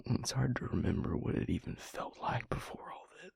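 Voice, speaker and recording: A man playing a grieving, guilt-ridden vampire confiding in his mate in an intimate audio roleplay, halting and hushed, with a breathy, husky voice. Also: medium pitch, very animated, no room sound, studio quality, exaggerated voice. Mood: subdued, sorrowful. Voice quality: breathy, husky, gravelly near-whisper.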